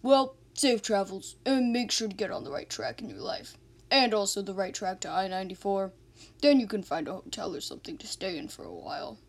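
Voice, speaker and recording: Woman as doting police officer, folksy, animated intonation, medium pitch, amateur radio drama. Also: a little fast, lightly acted. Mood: helpful.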